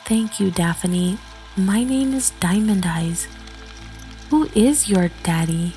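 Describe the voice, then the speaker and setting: A woman playing a polite, gentle, newly awakened female android in an amateur sci-fi radio drama. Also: medium pitch, expressive, slow, faint music behind. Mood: gentle, grateful.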